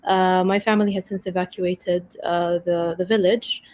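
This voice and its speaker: Young woman from Lebanon, flat voice. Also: lowish voice, moderate pace. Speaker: intern. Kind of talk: self-introduction.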